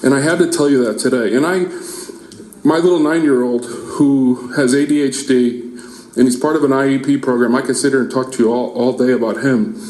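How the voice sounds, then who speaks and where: A man in his forties, a parent giving a heartfelt prepared speech at a public meeting, now and then halting and restarting. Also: medium pitch, steady tone, darkish tone. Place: large meeting room. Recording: echoey meeting hall.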